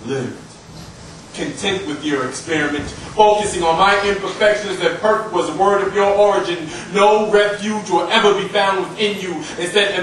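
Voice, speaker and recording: A man's voice: sits high, a bit bright. A young man performing spoken-word slam poetry in an impassioned, dramatic way with emphatic phrasing. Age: young adult. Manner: impassioned, dramatic delivery with emphatic repetition and alliteration. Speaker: slam poet. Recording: roomy, noisy live recording from far off.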